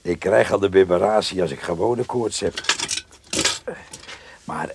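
A man playing a gruff, rustic farmhand in a radio drama, muttering and rambling to himself. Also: low-pitched, a little slow, noisy background. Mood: gruff, fond.

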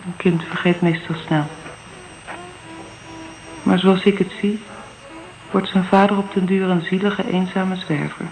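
A woman's voice: on the low side, fairly even intonation, unhurried, long pauses, a little mellow, poor audio. An actress playing an earnest, candid young divorced mother in a Dutch radio drama. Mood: wistful.

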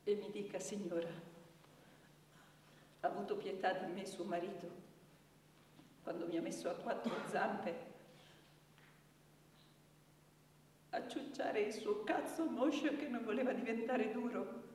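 An actress playing a wounded, bitter young woman in a halting, deliberate theatre monologue. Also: mid-range voice, a little mellow, recorded from a distance, natural voice. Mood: accusing, bitter. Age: young adult. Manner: halting, deliberate, repeating words, with long pauses. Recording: echoey theatre hall from afar, noisy.

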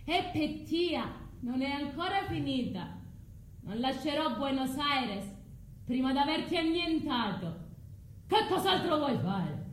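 A betrayed wife and mother bent on revenge in a stage tragedy. She speaks slowly and deliberately, with cold, taunting scorn, in an echoey space. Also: high voice, animated. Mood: cold menace. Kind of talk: theatrical tragic monologue. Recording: roomy stage, faint background noise.

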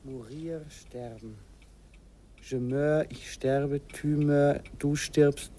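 A flustered nineteen-year-old. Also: male voice, fairly high pitch, steady tone, very slow, mellow, slight background noise. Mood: anxious. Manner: eager, flustered. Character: naive, nervous young male student. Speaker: student.

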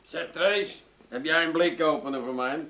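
An actor playing a stubborn, pompous, offended brother-in-law in a radio comedy. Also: male voice, a little high, moderate pace, noisy background, natural voice. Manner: defiant, pompous. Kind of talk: 1980s radio comedy acting.

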